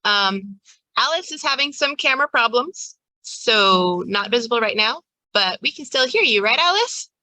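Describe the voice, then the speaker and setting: A woman's voice: on the high side, lively intonation, normal speed, pushed and bright, no room sound, poor audio. A woman game master giving a casual, playful and wryly hinting recap of a tabletop game on a livestream.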